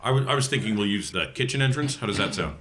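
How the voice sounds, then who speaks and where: A man's voice: lowish voice, expressive, quick pace, a bit bright, slight background noise. A man chatting casually as a player in a tabletop role-playing game.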